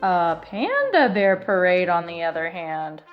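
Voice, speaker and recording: A woman reading a children's picture book aloud in an expressive, emphatic way, stretching out key words. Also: fairly low pitch, a little slow, highs cut off, soft background music. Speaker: storybook reader.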